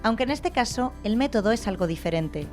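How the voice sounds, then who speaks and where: A woman reporter reading scripted news narration in an even, clear voice-over delivery. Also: on the high side, brisk, soft background music.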